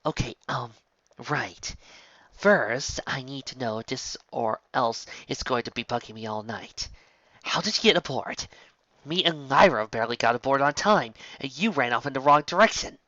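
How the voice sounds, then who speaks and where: Male reader putting on a high, sharp-voiced braggart mare for a storybook; cocky, giggly, dull lo-fi sound. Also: expressive, moderate pace, no room sound, full character voice. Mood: puzzled. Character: brash boastful young mare unicorn showoff. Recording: dull, lo-fi home recording.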